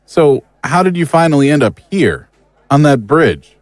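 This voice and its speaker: A man's voice: on the high side, expressive, a little slow, no echo. A man playing a blunt, plain-spoken and incredulous monster hunter in an audio drama. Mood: blunt, puzzled. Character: blunt, puzzled male member of a monster-hunting team.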